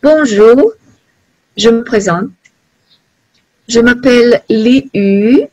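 A woman's voice: fairly high pitch, extremely slow, darkish tone, muffled recording. Hesitant woman on a video call. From China. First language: Chinese. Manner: hesitant, searching for words. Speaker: learner and organizer. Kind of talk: casual online class chat.

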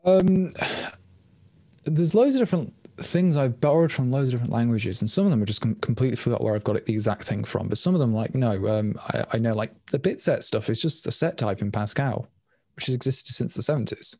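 A man talks through questions on a video call, quick and rambling, often restarting his sentences. The sound is dry and close, with no room echo. His voice sits at an ordinary middle pitch. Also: expressive, a little mellow. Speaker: language designer presenting at a meetup. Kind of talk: casual Q&A answers. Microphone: webcam on a video call.

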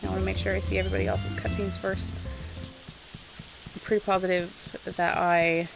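A woman's voice: lowish voice, very animated, a little fast, creaky, over music. A woman giving casual let's-play commentary as she games, rambling and thinking aloud to herself. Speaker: video game streamer narrating gameplay.

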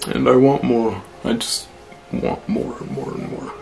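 A man in his twenties with a deep voice, chatting casually through a Q&A vlog in a small room, blunt and relaxed. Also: a little slow, gravelly, faint music behind. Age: 20s. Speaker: vlogger.

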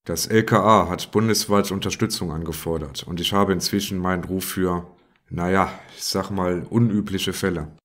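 A man playing a brash, boastful middle-aged police inspector in an audio drama parody, with gruff, slangy banter. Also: low voice, steady tone, normal speed, fairly bright tone. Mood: gruff, grumbling.

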